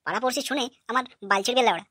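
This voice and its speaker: Woman doing a rapid-fire, brash young son-in-law. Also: a little high, fairly bright tone, husky, no echo, clean studio sound. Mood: angry, ranting. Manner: brash, ranting. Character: foul-mouthed, hot-tempered, aggrieved young son-in-law. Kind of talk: cartoon comedy dialogue.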